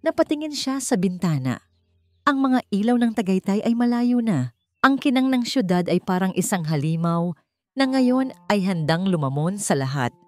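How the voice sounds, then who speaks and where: Woman narrator, lively pitch, low-ish voice, soft music underneath. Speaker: audiobook narrator. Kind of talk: scripted dramatic narration. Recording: faint background music.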